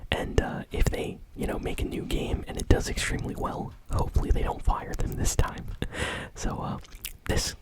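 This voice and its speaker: A woman hosting gaming news in an ASMR style, speaking in a soft breathy whisper with a casual, chatty, unhurried way of explaining.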